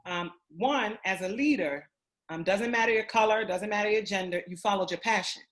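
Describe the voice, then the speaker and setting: A woman hosts an interview at a mid pitch. She leads the talk with enthusiasm and affirms her guest often. Her delivery is upbeat and encouraging. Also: normal speed. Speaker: interview host, school principal. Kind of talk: interview hosting.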